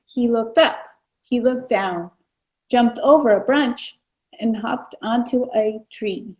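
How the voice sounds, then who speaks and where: A woman's voice: fairly high pitch, slow, a little mellow, rough recording. A woman author reading her own picture book aloud to children. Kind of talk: children's read-aloud narration.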